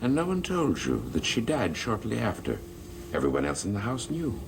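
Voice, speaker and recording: An actor playing a sly, condescending gentleman schemer in a gothic soap opera, mockingly polite, with music behind him. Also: on the low side, wildly swooping pitch, moderate pace, noisy background. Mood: probing, cool. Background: dramatic music behind the voice.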